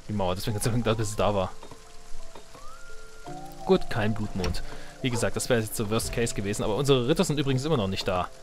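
A man's voice: on the low side, fast, pauses often. A man giving casual let's play commentary, muttering and thinking aloud to himself. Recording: game music behind the voice. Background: video game music.

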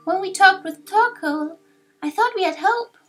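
A woman's voice: very high-pitched, unhurried, pitched above the performer's own voice. A woman voicing an earnest, anxious young fox creature in a video game, eager and pleading in manner. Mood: earnest, wistful.

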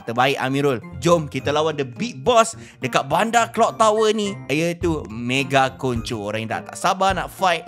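Bright, excitable young Malaysian man gaming. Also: on the high side. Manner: exclamatory, playful, repeats words for emphasis. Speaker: gaming video host. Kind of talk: excited roleplay game commentary.

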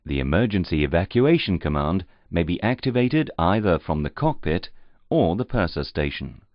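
Deep male training narrator, formal and measured but pitch moves around a bit, slightly muffled dry audio. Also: no echo. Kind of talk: scripted instructional narration.

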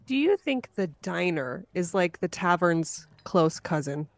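A woman's voice: on the low side, very animated, a little slow, dry recording. A woman hosting a podcast in a casual, conversational style. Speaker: podcast host.

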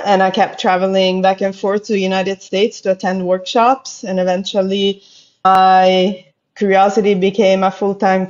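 Italian-accented woman on a dull call line. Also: mid-range voice. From Italy. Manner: thoughtful, searching for words, occasional restarts. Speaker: pottery artist guest. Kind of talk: casual interview chat. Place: indoor room with bookshelves. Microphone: headphones on a video call. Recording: slightly dull lo-fi call audio.

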